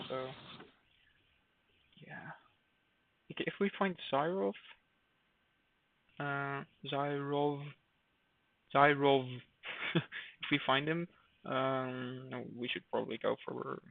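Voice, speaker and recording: A man's voice: mid-range voice, creaky, dry recording. A man giving casual live commentary while streaming a game, thinking aloud with hesitant fillers. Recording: dry, phone-like narrow sound.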